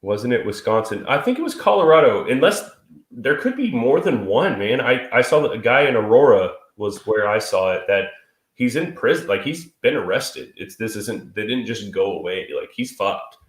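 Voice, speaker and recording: A man's voice: mid-range voice, animated, normal speed. A man in his thirties co-hosting a live stream in casual, crude, joking chat, stuffy and sniffly from a cold. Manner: crude, joking, swears freely. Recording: echoey room.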